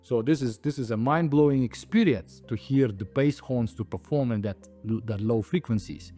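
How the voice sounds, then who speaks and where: A man in his thirties or forties, an audio designer, explaining products to camera on a lapel mic in a halting, emphatic way. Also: medium pitch, mellow. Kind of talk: explaining products to camera, semi-scripted talk. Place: indoor audio showroom. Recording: music playing behind the voice. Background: music.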